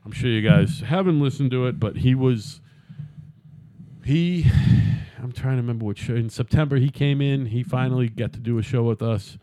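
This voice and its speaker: A man's voice: mid-range voice, moderate pace, warm tone, dry recording. Podcast host, male, 50s, loose and profane, dry close sound. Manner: rambling, swears freely. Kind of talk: casual chat.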